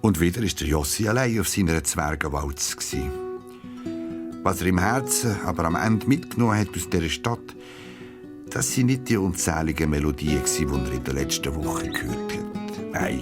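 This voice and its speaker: Low-voiced Swiss storyteller over guitar music. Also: male voice. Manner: storytelling with dramatic pauses. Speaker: narrator. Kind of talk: scripted children's story narration. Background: plucked guitar music.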